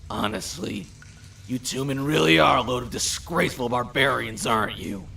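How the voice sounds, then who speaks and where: A man voices a sarcastic, lordly gang leader in an audio drama. His gravelly voice fries heavily and moves in lively swings, with formal, condescending phrasing. The mic sounds a bit far away. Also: mid-range voice, normal speed, exaggerated voice. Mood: disdainful. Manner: condescending, mock-polite, formal wording. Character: haughty, sarcastic, commanding male supernatural gang leader. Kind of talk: audio drama character acting.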